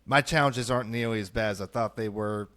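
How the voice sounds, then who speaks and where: A man's voice: on the low side, fairly quick, fairly bright tone. A man hosting a publishing podcast interview, upbeat and enthusiastic as he reacts and asks questions. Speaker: podcast host, publishing CEO.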